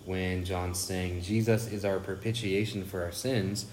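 A man's voice: low-pitched, steady tone, a little slow, a little mellow, a little hiss or hum. A man co-hosting a casual Bible study podcast, thoughtful and enthusiastic, often restarting his phrases. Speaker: podcast co-host.

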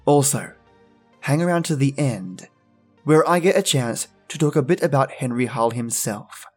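A man's voice: medium pitch, lively intonation, normal speed, breathy edge, faint music behind. A man hosting a podcast, giving an enthusiastic scripted introduction in a dramatic storytelling manner with chummy asides. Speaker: podcast host.

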